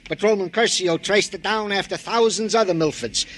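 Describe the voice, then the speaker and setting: An actor playing a doting, long-winded middle-aged police sergeant with a New York accent and mock-formal manner in a 1950s radio drama. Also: male voice, high voice, normal speed, a bit bright. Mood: businesslike, rambling. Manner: mock-formal, wordy, earnest. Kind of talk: 1950s radio comic-relief acting. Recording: hissy old radio recording.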